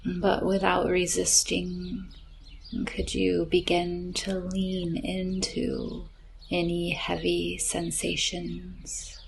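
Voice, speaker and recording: Woman, meditation guide, soothing and breathy, very even narrow intonation, unhurried gentle delivery, soft birdsong in the background. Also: on the low side, a little hiss or hum. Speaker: yoga nidra meditation guide. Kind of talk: slow guided relaxation meditation. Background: chirping birds, nature ambience.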